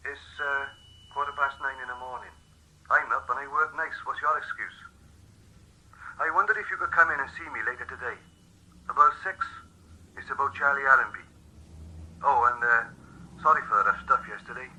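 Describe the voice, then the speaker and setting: An actor playing a slippery, smooth-talking club owner in a 1980s radio drama. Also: male voice, medium pitch, normal speed, pauses often, pushed and bright, low-quality recording. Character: apologetic club owner, grown man, slippery.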